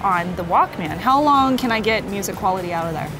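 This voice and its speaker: A woman's voice: medium pitch, animated, fairly quick. A woman presenter chatting casually and with enthusiasm as she interviews someone at a trade show booth. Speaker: presenter interviewing a product representative. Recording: music playing in the background. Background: music.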